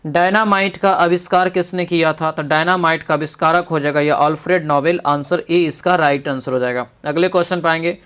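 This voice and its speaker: A male coaching teacher with a smooth, clear voice, pitched a little high for a man. He works through quiz questions in an instructional way, asking rhetorical questions and checking in often before giving each answer. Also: fairly even intonation, a little fast, few pauses, a bit bright. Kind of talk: practice quiz lesson, reading questions and explaining answers.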